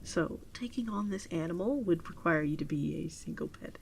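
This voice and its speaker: A woman playing a gentle, doting virtual pet adoption clerk in a soft-spoken ASMR roleplay, often slipping into a whisper, unhurried and attentive. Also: fairly low pitch, expressive, vocal fry, a little hiss or hum. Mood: tender, fond.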